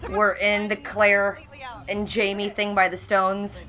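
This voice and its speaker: A woman vlog host chatting casually and candidly, with animated, jokey commentary on her old videos. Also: mid-range voice, slow pace. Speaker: host of a reaction channel.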